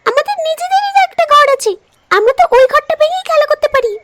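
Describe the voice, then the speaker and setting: A woman's voice: extremely high pitch, animated, fast talker, a bit bright. A woman voicing a playful, mischievous young crow chick in a cartoon, childlike and eager. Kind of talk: cartoon story voice acting.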